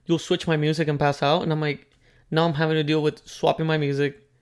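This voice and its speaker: A man's voice: fairly high pitch, level tone, a little fast, clear, resonant tone. Young guy w mexican accent, chill jokey podcast cohost, restarts a lot. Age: 20s. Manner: laid-back, joking, with stumbling restarts. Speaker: podcast co-host. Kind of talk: casual chat.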